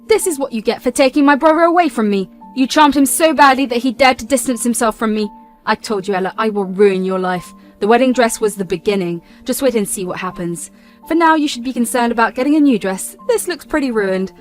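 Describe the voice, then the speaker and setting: Woman doing a spiteful young woman, shrill, taunting, loud, high-pitched. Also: brisk, faint music behind, close to an everyday voice. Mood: threatening. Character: spiteful, manipulative young woman, sister-in-law.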